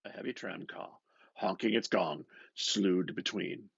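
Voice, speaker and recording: Husky, slow male literary reader. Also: sits low, dry recording, muffled recording. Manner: dramatic, musing, dropping into hushed murmurs. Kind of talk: expressive literary audiobook narration.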